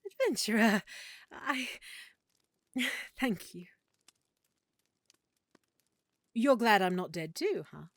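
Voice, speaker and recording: A woman playing a warm, teasing half-elf merchant in an intimate audio roleplay, speaking playfully and affectionately. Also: medium pitch, very animated, slow, no echo. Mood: tender, shy.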